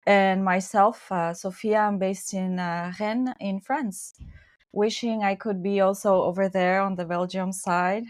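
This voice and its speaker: A woman's voice: mid-range voice, steady tone, a little slow, no echo, studio quality. A woman co-hosting a podcast over an online call, chatty and friendly, with small hesitations. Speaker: podcast co-host. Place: home in France, online call. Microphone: video call.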